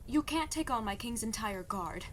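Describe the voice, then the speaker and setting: Young princess for audio drama woman performer: higher-pitched, blunt, skeptical and exasperated, faint ambience behind. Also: lively intonation, moderate pace, slight background noise, close to an everyday voice. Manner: blunt, dry, doubtful. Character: skeptical, exasperated young princess fleeing arranged marriage, guarded. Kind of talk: scripted audio drama acting.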